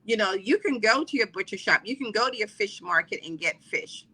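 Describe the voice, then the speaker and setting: A middle-aged woman from the Caribbean talks as a podcast guest, rambling and chatty, full of fillers and restarts. Her voice is strikingly bright and forward. She sits at a middle pitch for a woman. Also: expressive, fairly quick, husky, low-quality recording. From Trinidad and Barbados. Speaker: podcast guest, wellness coach. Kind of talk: casual interview talk.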